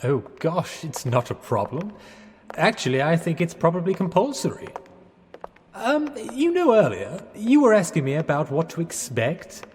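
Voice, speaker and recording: A man's voice: on the high side, sing-song, normal speed, a little mellow, slight background noise. An actor playing a bumbling, apologetic Englishman in a period radio drama, with an English accent and a hesitant, flustered, polite way of talking. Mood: sheepish. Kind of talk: 1920s-set radio drama acting. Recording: reverberant hall-like space.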